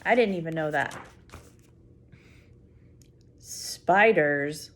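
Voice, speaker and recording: An older woman reading a picture book aloud to small children, full of wonder, pausing to explain things simply and add asides. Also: low-pitched. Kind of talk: read-aloud story time with spontaneous asides to a child.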